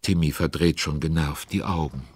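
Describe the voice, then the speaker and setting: A man's voice: very deep voice, normal speed, a little mellow, slight background noise. A man playing a chatty, curious narrator in a children's radio play. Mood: lively, confiding. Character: chatty, curious male storyteller commenting on the action.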